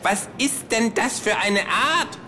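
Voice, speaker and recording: A man's voice: extremely high pitch, animated, slow pace, bright, forceful tone, a little hiss or hum, pitched above the performer's own voice, exaggerated voice. A man voicing a bossy, cheeky talking kangaroo in a comic audio story, barking commands. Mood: indignant, commanding.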